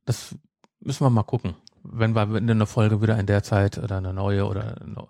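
A man's voice: on the low side, flat delivery, fairly quick, vocal fry, warm tone, no room sound, clean studio sound. A middle-aged man co-hosting a podcast in casual, amused banter, often rephrasing as he goes. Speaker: podcast co-host. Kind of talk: casual banter about an audio drama series.

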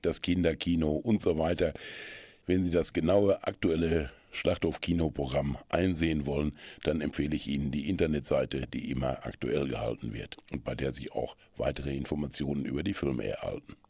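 Male radio host, very deep voice, dry close studio sound, chatty and measured culture-magazine presenting. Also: darkish tone, dry recording.

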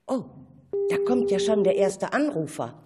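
Female children's radio host, mid-pitched, scripted and questioning. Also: wildly swooping pitch, a little slow, very noisy.

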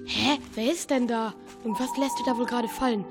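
Female performer as a high, nervous child. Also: fairly even intonation, fairly quick, over music, close to an everyday voice. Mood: nervous, suspicious. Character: nervous, timid child alone at night. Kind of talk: children's radio play acting.

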